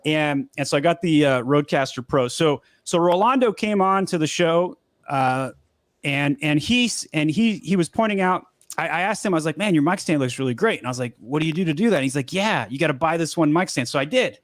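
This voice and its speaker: A man in his thirties hosting a casual live Q&A from a home studio, upbeat and quick, often repeating words as he talks. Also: fairly high pitch, lively intonation, no room sound. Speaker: host. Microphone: studio mic on boom arm.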